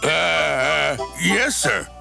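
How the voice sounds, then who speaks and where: An actor voicing a huge, dim giant in a cartoon, slow, lumbering and easily cowed, with music behind. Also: mid-range voice, expressive, vocal fry, exaggerated voice. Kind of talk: animated cartoon character acting.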